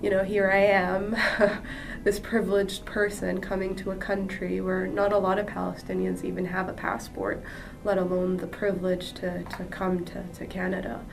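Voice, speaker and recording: A woman from Jordan sharing her personal story as a podcast guest, earnest and halting with frequent restarts. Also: mid-range voice, completely flat delivery, creaky. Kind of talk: personal testimony. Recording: distant mic with music behind.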